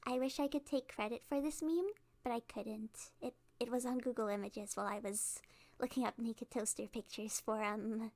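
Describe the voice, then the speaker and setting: A woman's voice: on the high side, steady tone, darkish tone, natural voice. A woman playing a cute, awkward, cheerfully menacing kidnapper girl in a rambling, playful ASMR roleplay monologue. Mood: chatty, giddy. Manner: rambling, halting, giggly, playful.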